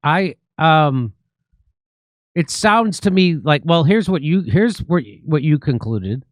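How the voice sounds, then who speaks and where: A man hosting a talk show, commenting and asking questions casually, often halting and repeating himself as he starts. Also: a little high, lively intonation, dry recording.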